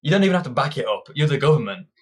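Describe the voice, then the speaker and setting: A man's voice: mid-range voice, very animated, racing, breathy edge. A young man giving an opinionated political monologue, combative and ranting, often restarting his sentences. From Britain. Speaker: political commentator.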